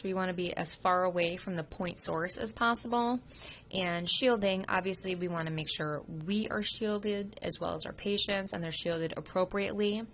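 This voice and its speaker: A sick woman teacher with a slightly low voice talks through a test review into her computer microphone. She sniffles now and then and explains in a loose, informal way, with plenty of ums. Faint room noise sits behind her. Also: a bit bright. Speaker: radiography instructor. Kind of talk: recorded test review lecture over slides.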